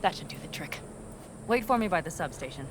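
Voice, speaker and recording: Woman acting blunt, low-voiced tough nomad woman. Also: animated, fairly quick, noisy background, natural voice. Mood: brisk, resolute. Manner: blunt, sardonic, plain-spoken with casual swearing. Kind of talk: naturalistic video game voice acting.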